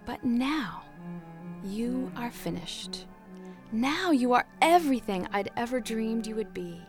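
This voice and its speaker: A woman doing a gentle, soft-spoken master potter. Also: very high voice, normal speed, soft background music. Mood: tender. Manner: soft, calm, hushed.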